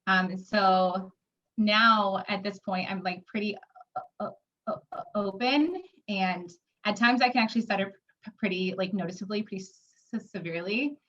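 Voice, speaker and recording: A woman in her twenties talks openly about her own life, stuttering often with repeated sounds and blocks. She fills pauses with filler words, and the recording sounds dull and slightly lo-fi. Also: mid-range voice, a bit bright. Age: 20s. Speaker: interviewee. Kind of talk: personal testimony.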